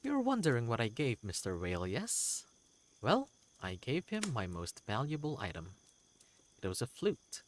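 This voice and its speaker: A man's voice: mid-range voice, wildly swooping pitch, normal speed, a little hiss or hum. A man voicing an eccentric, proud wanderer creature telling tales in a fantasy game, with a theatrical, whimsical and courteous manner. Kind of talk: character voice in a fantasy game reading.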